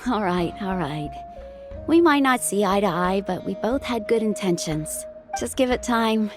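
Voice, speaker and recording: A woman playing a brisk, kindly young healer in a video game, matter-of-fact and reassuring, with music behind her. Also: mid-range voice, animated, close to an everyday voice. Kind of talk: video game character acting.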